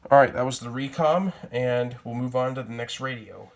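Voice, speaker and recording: A man's voice: medium pitch, normal speed. A man presenting items from his collection in a casual, plain-spoken vlog style, thinking aloud as he goes. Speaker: collector presenting weather radios. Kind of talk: casual product showcase vlog.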